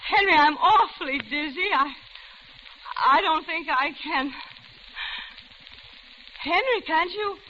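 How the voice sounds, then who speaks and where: Polite, chatty middle-aged widow with growing unease, old-time radio suspense, muffled noisy recording. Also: female voice, very high-pitched, slow pace, pauses often, sharp tone, full character voice. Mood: faint, dizzy. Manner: polite, talkative, hesitant. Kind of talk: 1940s radio acting, first-person narration and dialogue.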